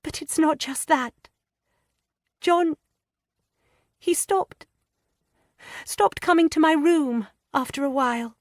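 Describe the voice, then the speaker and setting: A woman voicing an anxious, tearful young wife in an audiobook, pleading and stammering in a high, breathless voice. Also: slow pace, pauses often, dry recording, studio quality. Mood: distressed. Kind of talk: audiobook character voice.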